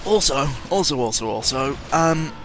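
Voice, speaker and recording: A man's voice: fairly high pitch, lively intonation, moderate pace, a bit bright, a little hiss or hum, rough recording. A man giving a casual, rambling audio-log monologue as host, sardonic and cynical, often interrupting himself and swearing freely.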